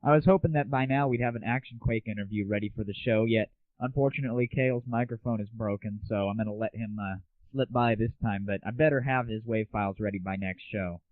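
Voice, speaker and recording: A man's voice: on the low side, fast, few pauses, dry recording. A man hosting an online gaming show in a casual, irreverent monologue, with a cheeky, slangy and crude way of talking. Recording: tinny, narrowband phone-like audio.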